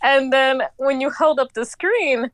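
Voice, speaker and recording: A woman co-hosting a casual podcast, excitable and laughing, swearing casually. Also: sits high, a little slow, dry recording.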